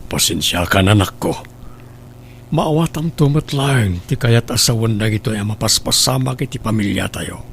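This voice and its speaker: A man acting in a Filipino radio drama as a remorseful father, husky and halting, pleading and apologetic. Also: fairly low pitch, lively intonation, slight background noise. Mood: sorrowful, apologetic.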